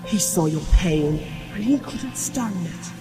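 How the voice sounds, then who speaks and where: Slow, sinister voice of conscience. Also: male voice, high voice, animated. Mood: mocking. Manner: slow, menacing, sometimes whispering. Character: sinister taunting voice of conscience, cruel and mocking. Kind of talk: horror audio drama acting. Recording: loud music under the voice.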